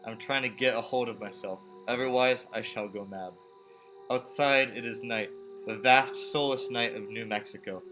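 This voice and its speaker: A young man acts out a frightened, haunted traveler in a radio play. He delivers his story with brooding drama in a mid-range voice. Music plays clearly behind him. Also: medium pitch, close to an everyday voice, poor audio. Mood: desperate, brooding. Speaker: student actor. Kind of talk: radio play acting, dramatic first-person narration.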